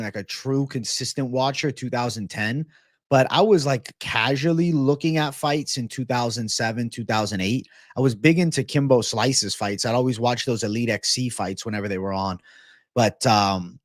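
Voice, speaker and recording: Young adult male livestream host from Massachusetts, casual and slangy, mid-pitched voice on a dry close mic. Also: dry recording. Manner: loose, animated, slangy, says bro. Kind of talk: casual livestream chat reading viewer comments.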